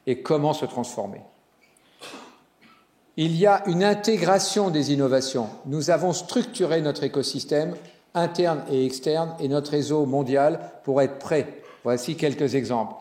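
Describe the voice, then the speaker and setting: A man giving a conference keynote as a hospital executive, animated and joking, with some fillers and restarts. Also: on the high side, measured pace. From Italian descent. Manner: animated speaker with jokes and fillers. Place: conference hall. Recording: roomy hall sound.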